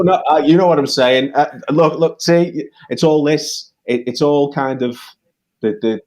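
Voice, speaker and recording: Halting, animated British songwriter man. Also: mid-range voice, low-quality recording. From United Kingdom. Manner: halting, many restarts, animated when making a point. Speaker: songwriter guest. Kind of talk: casual conversation.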